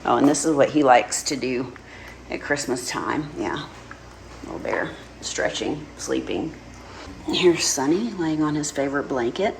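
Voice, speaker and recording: A woman's voice: extremely low pitch, measured pace, vocal fry, a little hiss or hum, low-quality recording. A woman from Dallas with a strong Texan accent, hosting her channel and chatting casually with viewers, laughing often. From Dallas, Texas. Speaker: channel host.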